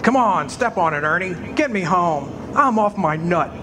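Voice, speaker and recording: Man playing a frantic, high-voiced family man. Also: lively intonation, moderate pace. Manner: stammering, agitated. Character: frantic, bewildered family man, desperate and exasperated. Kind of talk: live radio drama acting. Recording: live stage recording, faint room noise.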